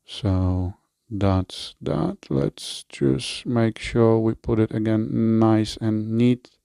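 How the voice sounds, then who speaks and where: A man with a Polish accent giving a relaxed product review and installation walkthrough, with frequent fillers and self-corrections. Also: lowish voice, very slow, creaky, smooth, dry recording, studio quality.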